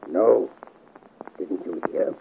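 A man's voice: takes it slowly, natural voice. A man acting a cool, scornful, murderous innkeeper in a theatrical 1950s radio serial style. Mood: calculating, smug. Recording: noisy narrow-band 1950s radio recording.

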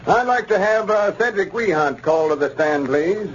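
High-pitched earnest courtroom lawyer, old radio. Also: male voice, animated, normal speed. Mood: earnest, formal. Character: earnest male lawyer questioning witnesses in court. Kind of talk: 1930s radio comedy acting. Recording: noisy muffled 1930s radio recording.

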